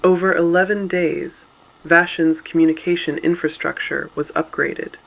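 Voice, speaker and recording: A man playing a grave resistance broadcaster, narrating a scripted dystopian radio broadcast in a measured, deliberate, solemn way. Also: on the high side, creaky, muffled recording. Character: grave adult male resistance broadcaster reporting on fallen cities.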